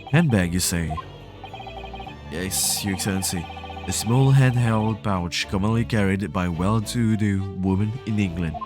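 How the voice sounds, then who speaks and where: A man streaming a game, reading the dialogue aloud at a deliberate pace, then chatting playfully to his viewers. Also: on the low side. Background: game music.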